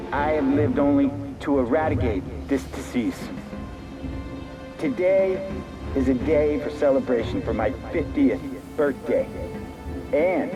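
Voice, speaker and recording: A slow, grandiose politician in his fifties. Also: on the high side. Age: 50s. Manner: grandiose, deliberate. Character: proud fifty-year-old male politician addressing his party guests. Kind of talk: rousing public speech. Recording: muffled, loud music behind.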